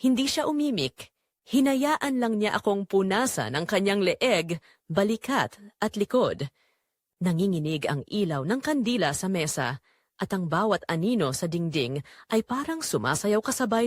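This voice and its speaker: A woman's voice: mid-range voice, sing-song, moderate pace, no room sound, studio quality. A woman narrating a dramatic romance story in the first person.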